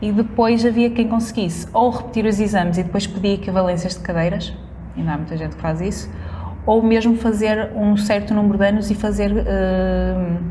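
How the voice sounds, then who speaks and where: A woman's voice: mid-range voice, steady tone, brisk, dark tone. A woman in her thirties from northern Portugal with a northern Portuguese accent, chatting casually and laughing often as a guest. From Valongo, northern Portugal. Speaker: guest dentist. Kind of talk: casual conversation. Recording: long reverb, faint noise.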